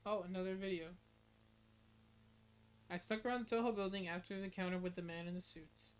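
A woman's voice: on the low side, fairly even intonation, a little fast, smooth, poor audio. A young woman streamer giving casual reaction commentary and reading captions aloud.

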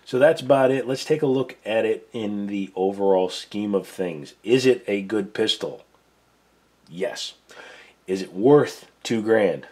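A man's voice: fairly low pitch, animated, measured pace, warm tone. A man who is losing his voice gives a casual, plain-spoken, step-by-step demonstration at his workbench. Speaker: gun reviewer, show host. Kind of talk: casual tabletop demo. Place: indoor workbench.

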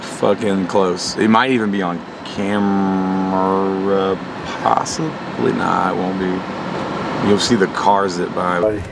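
Low-voiced guy in his 30s riffing on his vlog, swears a lot, car noise all over it. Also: fairly even intonation, vocal fry. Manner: joking, swears freely, talks in quick bursts. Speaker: vlogger. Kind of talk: casual vlog chat. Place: car and apartment.

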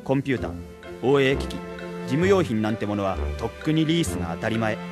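A male actor playing a jokey, excitable salaryman in a Japanese radio comedy drama, given to clowning and puns. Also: mid-range voice, fast talker, over music, natural voice. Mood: reflective narration. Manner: clowning, breaks into puns and bits of song. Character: jokey, excitable salaryman, cheerful punster and father.

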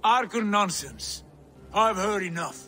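An actor playing a proud, brooding Viking prince obsessed with visions, solemn and deliberate, in a dramatic video game cutscene. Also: male voice, high voice, animated, pushed and bright, soft background music, heavily acted. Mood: angry, bitter.

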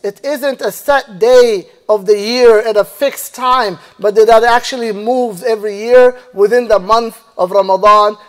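A man in his thirties delivering a Friday sermon as imam in a mosque, speaking in a measured preaching manner into a stand microphone, with a long hall reverb on his voice. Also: very high voice. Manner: measured preaching, recites Arabic verses rapidly then translates slowly. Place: mosque prayer hall, indoors. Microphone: stand mic and lapel mic.

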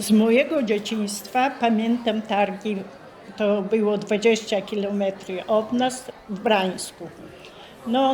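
A woman's voice: fairly high pitch, a little mellow. An older woman, sixty or over, recalling her childhood. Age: 60s or older. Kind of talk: spoken reminiscence.

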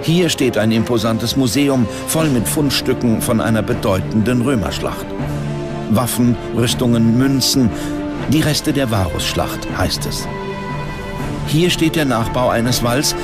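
A man narrates a documentary from a script. His phrasing is measured and dramatic, his pitch average. Loud music plays behind him.

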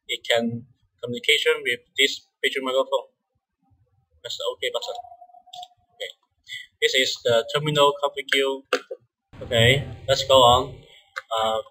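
A man who is a non-native English speaker presents a step-by-step software tutorial in a halting way, often repeating words. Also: mid-range voice, rough recording. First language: not English.

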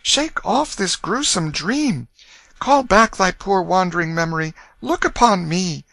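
Guy putting on a really high wailing poor mum from an old storybook, loving, pleading, anguished. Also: lively intonation, unhurried, creaky, fairly bright tone, no room sound, heavily acted. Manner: pleading, lamenting archaic speech. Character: loving, anguished middle-aged poor mother. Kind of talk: dramatic storybook reading.

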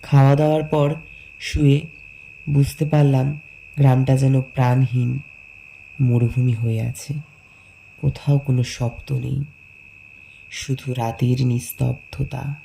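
A man's voice: mid-range voice, little pitch movement, slow, vocal fry, pauses often, warm tone, a little hiss or hum, low-quality recording. A man playing a lovelorn young villager who tells his tragic love story in a pensive, scripted first-person narration. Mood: pensive, sorrowful.

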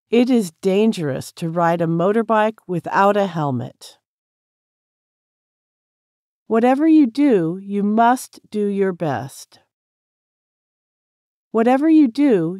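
A woman with an American accent reads practice sentences very slowly, each word laid out plainly for learners. Her pitch rises and falls freely across each line. The sound is dry and close, with no room around it. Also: mellow, no echo. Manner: clear, careful, each sentence said three times. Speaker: English practice reader. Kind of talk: slow repeated sentence reading for drills.

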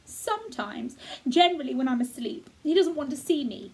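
A woman voicing a sickly, bitter bedridden young boy who speaks slowly and plaintively. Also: high-pitched, sing-song, voice pushed up. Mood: sullen.

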